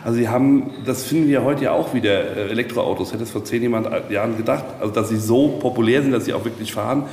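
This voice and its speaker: A man explaining things casually in a reflective, halting way, searching for his words as he goes. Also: mid-range voice, darkish tone. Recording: echoey reverberant hall, faint noise.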